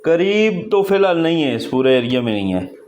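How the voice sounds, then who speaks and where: A man's voice: fairly high pitch, very animated, moderate pace. A man talking on a phone call in a polite, deferential manner, often repeating himself. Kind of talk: phone conversation. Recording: clearly noisy field recording.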